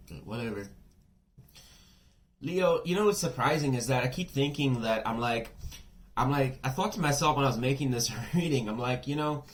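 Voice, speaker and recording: Slightly hoarse man chatting through a reading. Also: medium pitch, rough recording. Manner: chatty, swears freely, frequent asides and fillers. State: losing his voice, a little under the weather. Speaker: tarot reader. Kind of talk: casual tarot reading monologue.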